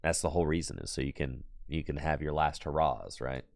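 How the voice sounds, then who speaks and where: A man's voice: very deep voice, steady tone, fairly quick, creaky, darkish tone, no room sound, studio quality. A man streaming a card game, losing his voice to allergies, muttering his plays aloud and chatting with viewers. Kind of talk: casual live-stream game commentary.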